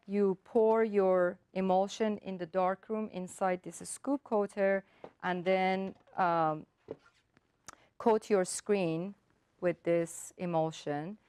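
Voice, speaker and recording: A woman whose first language is Persian, an artist calmly explaining her work and technique step by step, often pausing with ums and uhs. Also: medium pitch, clear, resonant tone, no echo. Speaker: artist giving a demonstration.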